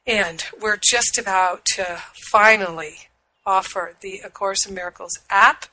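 A middle-aged woman with a husky voice, hosting a spiritual radio show, speaking slowly and soothingly while recovering from a cold. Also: mid-range voice, sharp tone, poor audio. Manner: slow, soothing and affirming. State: recovering from illness. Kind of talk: prayer and spiritual teaching talk.

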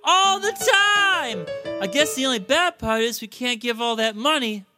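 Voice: mid-range voice, animated, lightly acted. An actor playing a laid-back, cheerful male T-rex bank robber with breezy slang in a comic children's audio drama. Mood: chill, regretful. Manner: breezy slangy optimism, drawn-out roars. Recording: loud music bed under voice.

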